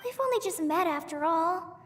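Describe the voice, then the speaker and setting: A woman's voice: extremely high pitch, animated, faint music behind. A woman voicing a shy, self-doubting young girl in a video game, earnest and hesitant in manner. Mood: hesitant, anxious. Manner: hesitant, halting, self-deprecating.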